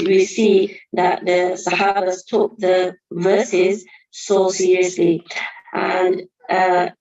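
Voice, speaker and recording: A woman's voice: mid-range voice, darkish tone, dull audio. A woman giving an earnest Qur'an study lesson, explaining verses carefully with reverent honorifics. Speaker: religious teacher.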